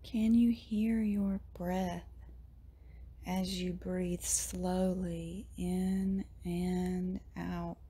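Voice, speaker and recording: Soft mellow woman doing guided meditation, super slow, gentle, almost whispery, lots of pauses. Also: fairly low pitch, little pitch movement. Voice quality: soft, breathy, often near whisper. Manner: gentle, soothing, long pauses between short phrases.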